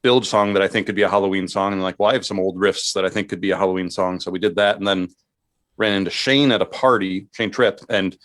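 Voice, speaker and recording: A man's voice: sits low, steady tone, fast talker, a bit bright, dry recording, clean studio sound. A man who plays in bands, chatting casually as an interview guest and telling stories about his music. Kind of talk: casual chat, recounting band history.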